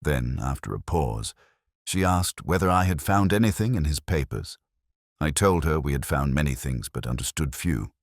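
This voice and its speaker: A man reading a first-person detective story as scripted narration, in a measured, literary storytelling manner. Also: extremely low pitch, expressive, dry recording, clean studio sound.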